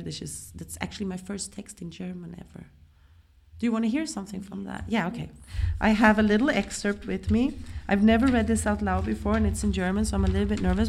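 A woman from Sweden with a Swedish accent, a writer and performer reading her own comic prose aloud with a wry, self-mocking, deadpan delivery. Swedish is her first language. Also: fairly low pitch, gravelly.

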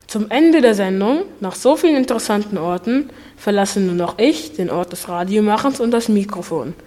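A woman's voice: medium pitch, animated, moderate pace, dark tone. A woman presenting a radio programme, reading a scripted sign-off. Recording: long reverberant hall sound.

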